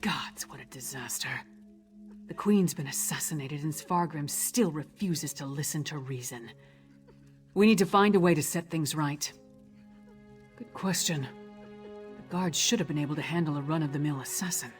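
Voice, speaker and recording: A woman's voice: low voice, normal speed, soft background music, natural voice. An actress playing a blunt, hot-tempered but dutiful warrior woman in a video game, forthright and plain-spoken. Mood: grim, resolved. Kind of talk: scripted video game dialogue.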